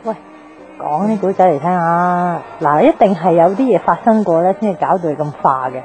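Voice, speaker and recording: A woman's voice: on the low side, animated, brisk, darkish tone, lightly acted. An actress playing a brash, nosy young woman drinking at a bar in a radio drama, cheeky and coaxing with a Hong Kong Cantonese accent. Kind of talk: naturalistic radio drama acting. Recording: music behind the voice.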